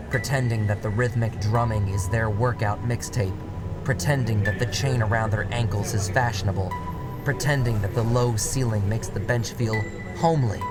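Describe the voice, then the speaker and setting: A man with a somewhat low voice narrates a dark urban audio drama in the first person. His delivery is wry and cynical, and he stretches out key phrases. Music plays clearly beneath him. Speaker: narrator and writer.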